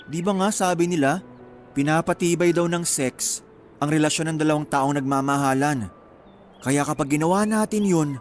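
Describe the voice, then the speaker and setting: Actor as a high-voiced, pushy young Filipino boyfriend. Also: male voice, a little mellow, close to an everyday voice. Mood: coaxing. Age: young adult. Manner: coaxing, insistent. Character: pushy, sulky, sweet-talking young college boyfriend. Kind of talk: Tagalog radio drama acting.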